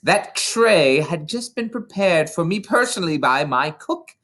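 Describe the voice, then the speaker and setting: A man voicing a furious, tyrannical middle-aged headmistress in a storybook reading, barking and shouting insults with drawn-out contempt. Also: on the high side, expressive, pitched above the performer's own voice. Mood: furious, scolding.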